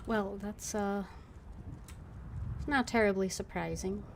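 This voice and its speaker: A woman live-streaming a video game, thinking aloud in a casual, unhurried and halting way. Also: medium pitch, creaky, noisy background. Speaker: gaming streamer.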